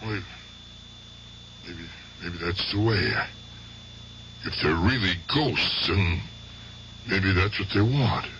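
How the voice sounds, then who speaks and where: An actor playing an aging, guilt-ridden gangster in a radio drama, with rough, halting American speech. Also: male voice, low-pitched, animated, pushed and bright, exaggerated voice. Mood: anxious. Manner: rough colloquial speech, halting, muttering to himself. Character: aging guilt-tormented gangster, gruff but fond uncle. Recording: dull, noisy old radio drama recording.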